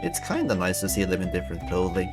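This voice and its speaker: A man's voice: low voice, sing-song, quick pace. A man streaming a video game, chatting casually with friends. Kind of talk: casual gaming chat with friends. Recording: loud game music under the voice. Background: loud video game music.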